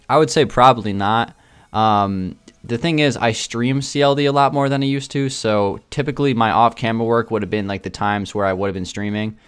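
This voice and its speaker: A man's voice: on the low side, clean tone. A man chatting casually to his viewers in a gaming video, rambling a little and often repeating himself. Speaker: video game YouTuber.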